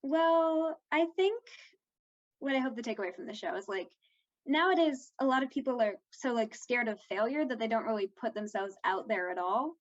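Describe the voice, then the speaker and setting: A young woman with a fairly high voice talks casually, as an actor in an interview. She is informal and says "like" very often. The audio is slightly dull and lo-fi. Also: animated, normal speed. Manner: informal, heavy use of "like".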